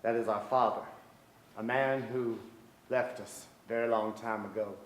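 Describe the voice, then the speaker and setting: An actor playing a wry, poetic man narrating his family's story in a theatrical stage monologue with deliberate pauses. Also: on the low side, steady tone, close to an everyday voice. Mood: wry, wistful. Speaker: actor in a staged play reading. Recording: echoey theater stage, noticeable noise.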